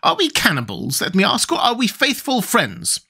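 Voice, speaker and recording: A man's voice: high-pitched, very animated, normal speed, fairly bright tone, voice pushed up, exaggerated voice. A man voicing a courteous, reasonable man made of tin in a children's storybook. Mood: shocked.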